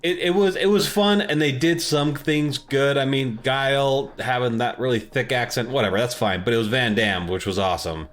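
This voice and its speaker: A man's voice: medium pitch, moderate pace, few pauses, fairly bright tone. A man co-hosting a podcast, chatting casually and enthusiastically about movies in an informal, opinionated way. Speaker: podcast co-host.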